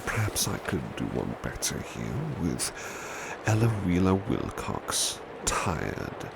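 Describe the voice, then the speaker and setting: A man plays a devoted butler in a soft ASMR roleplay. His voice is very deep and slow, yet it rises and falls expressively. He is formal, proud and solicitous, with heavy ambient noise behind him. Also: very animated, gravelly, full character voice. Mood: melancholy, tender. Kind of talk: soft-spoken ASMR roleplay with poetry reading. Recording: strong background ambience noise.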